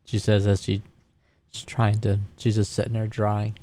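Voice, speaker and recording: A man's voice: on the low side, completely flat delivery, moderate pace, warm tone. A man playing a tabletop roleplaying game, casually narrating his character's actions and lines.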